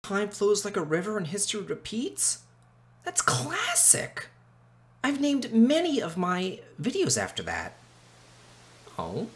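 A man's voice: high-pitched, huge pitch swings, slow pace, pauses often, a bit bright. A middle-aged man gushing and thinking aloud in casual gameplay commentary. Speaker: let's play host.

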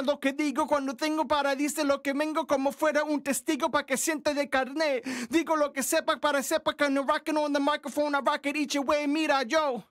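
Brief: man in his thirties, native Spanish speaker, rhythmic freestyle rapper, high-pitched and very dry studio booth sound. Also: a bit bright, no room sound. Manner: rhythmic, chanting, percussive vocal beats. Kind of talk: freestyle rap performance mixing English and Spanish. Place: small recording booth. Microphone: studio condenser mic with headphones.

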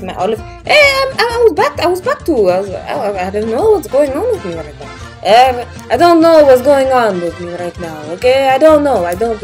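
A young woman teaching a kids' Bible lesson in a playful way, trying out silly accents. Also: on the high side. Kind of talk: playful scripted kids lesson.

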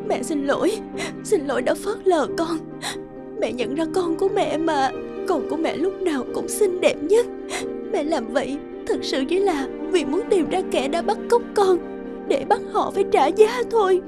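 Worried loving mom in a cartoon, high voice, sincere and emotional. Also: female voice, expressive, moderate pace. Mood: tearful, tender. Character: anxious, loving young mother, earnest and emotional. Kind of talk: cartoon dubbing. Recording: music behind the voice.

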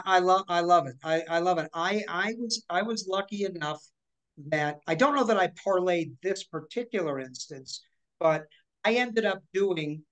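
A woman's voice: fairly low pitch, poor audio. Woman, forties, voice actor, chatty, gestures as she talks, restarts a lot, video call, home living room. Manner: chatty, animated, with many restarts and hand gestures. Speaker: guest voice actor. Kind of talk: casual interview storytelling.

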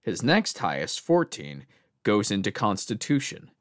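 A man's voice: on the low side, big pitch swings, measured pace, no room sound, studio quality. A male voice actor reading scripted instructional narration.

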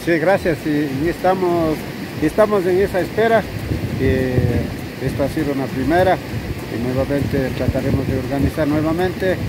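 A middle-aged man, speaking formally and haltingly as an event organizer interviewed outdoors. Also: a little high, heavy background noise. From Ecuador. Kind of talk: on-camera interview. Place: outdoors by river with bushes. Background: music.

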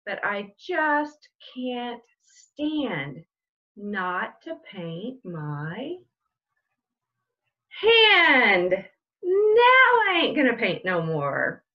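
A middle-aged woman reading a children's picture book aloud in a sing-song way, with drawn-out, emphatic delivery. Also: a little high, huge pitch swings, highs cut off. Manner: drawn-out build-ups with loud emphatic punch words.

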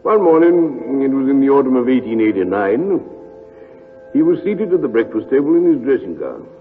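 An actor playing a genial, earnest, easily flustered gentleman sidekick with a British accent, hesitant and bumbling, in an old-time radio drama. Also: medium pitch, big pitch swings, moderate pace, close to an everyday voice. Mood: reminiscing. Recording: muffled vintage radio recording, music.

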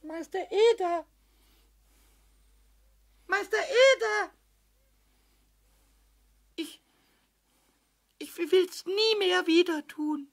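A squeaky little imp of a kobold, childlike and emotional. The voice is very high and slow, stumbling and repeating itself as it pleads, in the style of a children's radio play. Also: male voice, full character voice. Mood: remorseful, pleading. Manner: stammering, repeating, plaintive.

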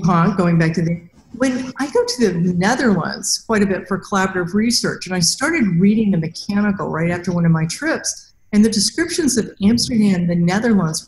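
A middle-aged woman hosting a podcast interview on a video call, talking casually about robotics and acting out quotes lively. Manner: animated, acts out quotes with big pitch jumps. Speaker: podcast host and robotics researcher. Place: small room with a plain wall. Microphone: headset mic on a video call. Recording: echoey room.

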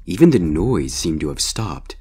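A man's voice: low voice, very animated, normal speed, warm tone, breathy edge. A man reading a scripted horror story as its narrator.

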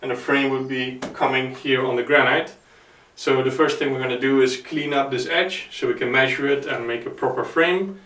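A man's voice: mid-range voice, steady tone, normal speed, a bit bright. Young man explaining a building project. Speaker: host of a renovation project vlog. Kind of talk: explanatory vlog talk and narration.

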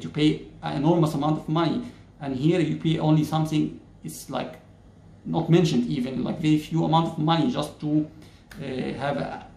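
A man in his thirties from Egypt, speaking English, explains a topic to camera in a measured way, pausing often on "uh". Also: on the high side, vocal fry, warm tone, roomy sound. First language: Arabic. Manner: measured, many "uh" pauses, gestures with hands. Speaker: YouTuber. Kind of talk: casual explainer talking to camera. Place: plain-walled indoor room.

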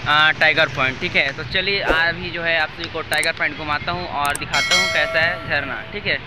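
A man's voice: on the high side, fairly even intonation, brisk. A young man from India narrating a casual travel vlog, talking into a phone outdoors. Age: young adult. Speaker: vlogger. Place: outdoors at a forested waterfall. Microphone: phone at arm's length. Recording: outdoor wind and waterfall noise.

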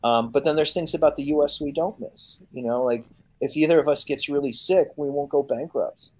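Animated man in his fifties on a video call. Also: a little high, brisk. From Chicago, United States. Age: 50s. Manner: animated storyteller who acts out quoted voices, many fillers. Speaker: guest. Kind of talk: casual interview over video call. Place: home room.

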